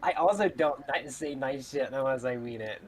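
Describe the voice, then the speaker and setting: A woman's voice: rumbling low, normal speed, darkish tone. A woman streamer chatting casually between read-aloud lines on a stream. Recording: music clearly behind the voice. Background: music.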